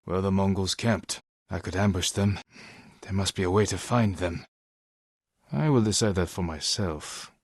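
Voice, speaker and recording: A stern, honorable young samurai warrior from a dramatic video game. His voice is deep and husky, close and dry. He speaks in terse, measured lines with restrained, weary resolve. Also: no room sound. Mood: firm, measured. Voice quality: low, husky voice.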